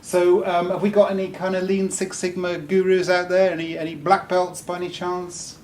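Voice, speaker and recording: A man's voice: sits high, little pitch movement, moderate pace, darkish tone. Joking man, heard from afar. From Wales. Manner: chatty, joking, with many ums and restarts. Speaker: speaker. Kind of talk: informal talk to a live audience. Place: meeting room. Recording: distant mic with faint room noise.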